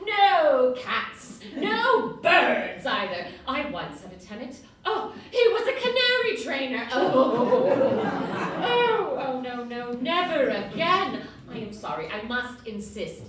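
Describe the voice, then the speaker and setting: Fussy, firm Victorian landlady for an actress, slow and emphatic, high-pitched, stage audio play in a roomy space. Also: very animated, a bit bright, full character voice. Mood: alarmed, insistent. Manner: emphatic, brisk, proprietorial. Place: live performance in a club room. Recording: echoey room, distant mic, faint noise.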